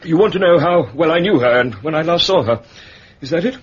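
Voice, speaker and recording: An actor playing a middle-aged English bank manager in a period radio drama, fussy, discreet and hesitantly formal. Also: male voice, fairly high pitch, lively intonation, fairly quick. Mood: flustered, guarded. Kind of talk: British period detective radio drama acting. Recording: muffled radio recording, clear background noise.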